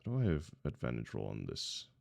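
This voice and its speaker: Man, game player, bass voice, heavy fry, terse, plain-spoken, casual. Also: wildly swooping pitch, fast, a little mellow, no echo, studio quality. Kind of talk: casual, brief game talk.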